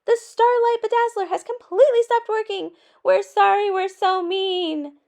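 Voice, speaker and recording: Woman voicing an excitable, high-pitched young girl unicorn. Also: normal speed. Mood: panicked pleading. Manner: breathless, exclamatory, earnest pleading. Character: excitable young female unicorns, pleading and gushing.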